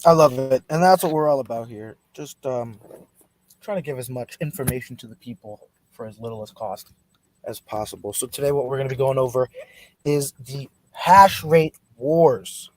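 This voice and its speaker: A man hosting a weekend livestream, energetic and emphatic, moving from bold lines to quieter asides, then reading out an article. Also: medium pitch, normal speed, low-quality recording. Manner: energetic, emphatic, swings between loud and soft. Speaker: host.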